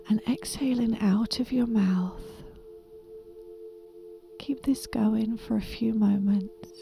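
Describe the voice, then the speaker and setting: A woman with a soft, breathy, whispery voice gently and soothingly guiding a meditation in a deliberate manner. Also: medium pitch. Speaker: meditation guide. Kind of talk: guided meditation, soft half-whispered narration.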